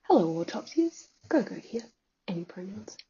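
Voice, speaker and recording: A woman's voice: mid-range voice, big pitch swings, normal speed, mellow, breathy edge. A woman leaving a theatrical voice message as a listener, on a muffled lo-fi recording.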